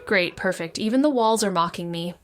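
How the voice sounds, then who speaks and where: A woman's voice: medium pitch, brisk, slight background noise, close to an everyday voice. A woman playing a shaken, sardonic young woman in an intimate first-person audio drama monologue, wry, introspective and profane. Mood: bitter, sardonic. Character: shaken, wounded, sardonic young woman, med-school dropout.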